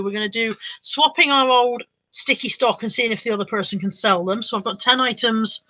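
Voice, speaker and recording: A woman's voice: on the high side, sharp tone, dry recording, poor audio. A British woman chatting casually on a live stream, reading out viewer comments and rambling in reply.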